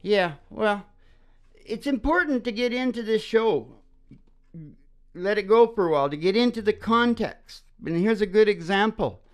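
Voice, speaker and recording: A man's voice: sits high, expressive, moderate pace, clear, resonant tone. A man who performs and hosts a music show, chatting casually and rambling as he interrupts himself. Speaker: singer-guitarist hosting a music show. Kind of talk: casual rambling chat between live songs. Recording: dull lo-fi sound with acoustic guitar.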